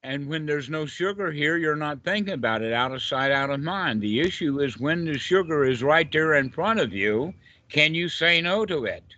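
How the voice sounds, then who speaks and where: A man's voice: on the high side, animated, moderate pace, bright, forceful tone, slight background noise. A man with a Southern US accent teaching informally in a group call, emphatic and fond of repeated rhetorical questions. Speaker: meditation teacher. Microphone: online group call. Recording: dull, lo-fi internet call audio.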